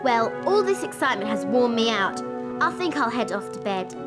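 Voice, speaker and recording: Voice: medium pitch, very animated, normal speed, loud music behind the voice, natural voice. An actor playing a friendly, casual young schoolboy in a game. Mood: chummy.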